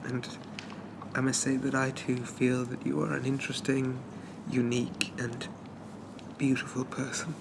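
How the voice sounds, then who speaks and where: A man playing a shy, polite, lovestruck Victorian zombie gentleman, speaking softly and haltingly with flowery apologies in an ASMR roleplay. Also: mid-range voice, level tone, creaky, background noise. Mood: tender, earnest. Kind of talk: ASMR roleplay, soft-spoken character monologue.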